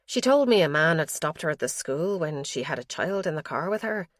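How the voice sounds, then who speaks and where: A woman's voice: sits low, expressive, a little fast, no echo, studio quality. A woman voicing an elderly father who pours his fears about his son into a diary, anxious and guilt-ridden.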